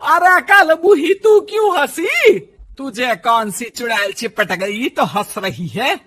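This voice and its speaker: A woman's voice: sits high, expressive, slow pace, a bit bright, heavily acted. A woman voicing a bossy, hot-tempered older mother-in-law in a cartoon story, nagging and sarcastic. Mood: angry. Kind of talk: Hindi moral-story cartoon voice acting.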